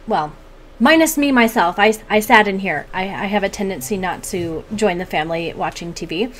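A woman in her thirties chatting casually and animatedly to the camera as a vlogger. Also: medium pitch. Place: small home room, indoors.

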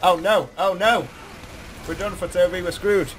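Excitable young man gaming over loud background noise. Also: high-pitched, moderate pace. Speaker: gaming video host. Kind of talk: casual gameplay commentary. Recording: noisy game audio behind the voice.

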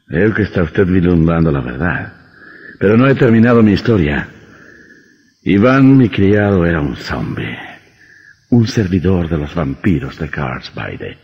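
An actor playing a sinister, gloating vampire doctor in an old radio horror drama, with a mocking, deliberate menace. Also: male voice, low-pitched, slightly rough, exaggerated voice. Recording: muffled old radio recording, long reverb.

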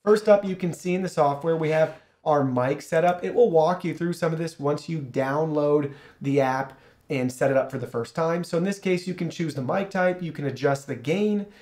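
A man in his thirties with a slightly high voice talks viewers through gear and software. His delivery is casual, upbeat and explanatory, like a friendly product overview, picked up on a studio shotgun mic. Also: moderate pace. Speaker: product reviewer and host. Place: small indoor studio. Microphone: shotgun studio microphone through an audio mixer.

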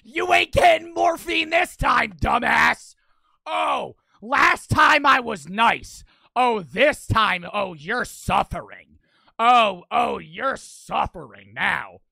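A man in his twenties streaming a game on a headset mic, excitable and swearing, with his voice cracking from wear. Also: very high-pitched, slow, gravelly, no room sound, raised voice. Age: 20s. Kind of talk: livestream gaming commentary. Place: small bedroom streaming setup. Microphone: headset mic on boom arm.

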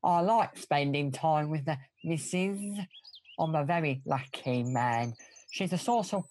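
A woman's voice: low voice, measured pace, darkish tone. Woman as a naive, earnest village husband. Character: simple, devoted henpecked village husband. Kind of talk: radio pantomime acting.

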